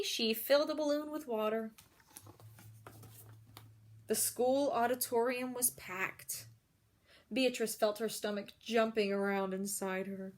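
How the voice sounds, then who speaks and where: A woman reading a picture book aloud to children, expressively, with little asides and questions to the young listeners. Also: on the high side. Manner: expressive, explains feelings and puts questions to children.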